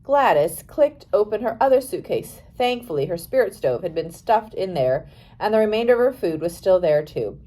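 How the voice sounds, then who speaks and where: Female audiobook reader, medium pitch, scripted story narration, careful delivery with occasional small slips. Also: warm tone. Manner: careful read-aloud with occasional stumbles.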